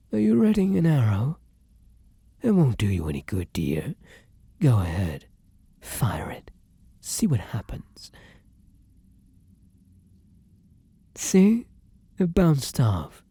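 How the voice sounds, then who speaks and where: A man playing a courtly, starving vampire lover in an ASMR roleplay, speaking formally and deliberately with dramatic pauses and frequent drops to a whisper. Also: mid-range voice, dark tone, no room sound, heavily acted. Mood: hungry, menacing, seductive. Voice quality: breathy, often dropping to a whisper. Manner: formal, archaic, endearment-laden, deliberate speech with dramatic pauses. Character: kind, courtly but starving vampire lover, turning predatory. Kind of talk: ASMR roleplay addressed to the listener.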